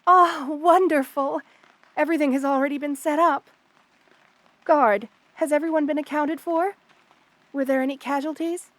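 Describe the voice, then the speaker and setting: A woman playing a loving, protective spider queen in an ASMR roleplay, speaking tenderly and with gentle regal poise. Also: high voice, steady tone, normal speed, pauses often, no room sound, close to an everyday voice. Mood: excited, commanding. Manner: tender, nurturing, gently regal, addresses listener with endearments. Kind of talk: ASMR roleplay, intimate character acting.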